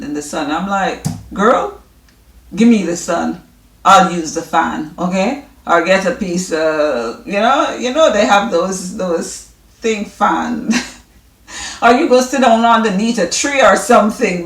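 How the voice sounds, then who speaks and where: A woman with a Jamaican accent, chatting informally and loosely to her viewers as the host of a wellness vlog. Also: lowish voice. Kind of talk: casual rambling chat to viewers. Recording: echoey room, distant mic.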